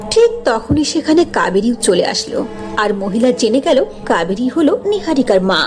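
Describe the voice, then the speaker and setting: A woman's voice: a little high, darkish tone. A woman narrator reading a scripted cartoon story.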